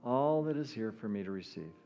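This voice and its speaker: Low-voiced man guiding meditation over music. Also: animated, dark tone. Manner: soothing, unhurried phrases with long pauses. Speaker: meditation guide. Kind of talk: guided spiritual meditation, prayerful. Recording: dull lo-fi audio under music.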